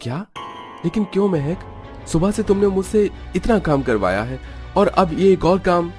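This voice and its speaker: A man's voice: high voice, lively intonation, normal speed, a little mellow, soft background music, voice pushed up. A man voicing a henpecked, grumbling young husband in a cartoon, whiny and protesting.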